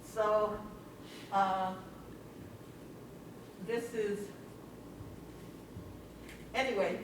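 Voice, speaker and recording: A woman presents her photos casually to a club at an unhurried pace. Her delivery is halting and informal. She is picked up from far off in a very echoey room with clear background noise. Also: mid-range voice, fairly even intonation. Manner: halting, informal, chuckling between remarks. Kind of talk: casual slide commentary. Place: library meeting room, recorded from afar. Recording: very echoey room, distant mic, noisy.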